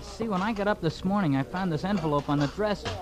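Man acting high-pitched, worried streetwise newsboy. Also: fast, lightly acted, rough recording. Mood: anxious, earnest. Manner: hesitant, slangy, plain-spoken. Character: worried, earnest streetwise newsboy, polite to adults. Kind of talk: 1940s radio drama acting. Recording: vintage radio, crowd murmur behind.

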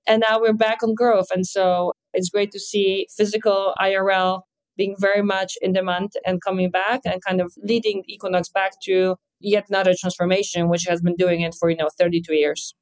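A woman's voice: medium pitch, flat delivery, low-quality recording. Woman, Polish accent, thirties, podcast guest, upbeat, candid. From Poland. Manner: upbeat, quick and candid with light laughs. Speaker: guest, fitness company executive. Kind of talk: podcast interview.

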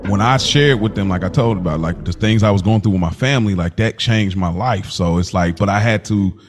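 A man's voice: fairly low pitch, a little fast. A man co-hosting a podcast in an informal, rambling chat, repeating phrases for emphasis. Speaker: podcast co-host. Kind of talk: casual chat. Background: music.

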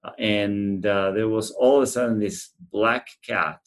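Deep-voiced Argentine man, thoughtful and halting. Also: steady tone. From central Argentina. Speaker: animal shelter representative being interviewed. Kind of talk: conversational interview answers.

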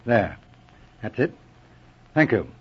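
An actor playing a calm, shrewd Mounted Police inspector, methodical, assured and probing, in 1940s radio drama style. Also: male voice, medium pitch, slow, husky, full character voice. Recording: muffled old radio recording.

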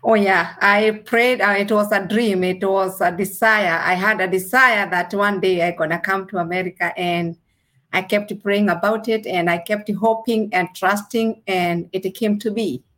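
A woman from Kenya with a Kenyan accent, a registered nurse answering interview questions earnestly, with measured pauses. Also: mid-range voice, fairly even intonation, a bit bright.

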